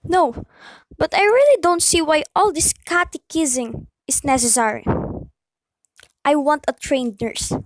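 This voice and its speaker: A woman's voice: high voice, measured pace, no room sound, poor audio. A woman playing an anxious, demanding invalid wife in a radio play, fretful and insistent. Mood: imperious, impatient.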